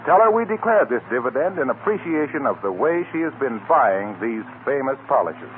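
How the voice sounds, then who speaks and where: Animated 1940s American radio announcer, male. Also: medium pitch, moderate pace. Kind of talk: 1940s radio advertisement reading. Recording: tinny 1940 radio broadcast, faint noise.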